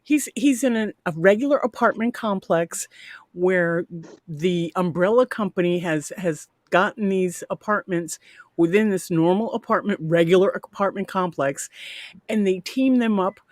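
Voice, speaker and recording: A middle-aged woman on a video call, speaking in a low, soft voice she calls ASMR-like, telling a heartfelt, rambling story with pride. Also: moderate pace, no echo. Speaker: parent sharing a story. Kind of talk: casual group chat. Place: home video chat.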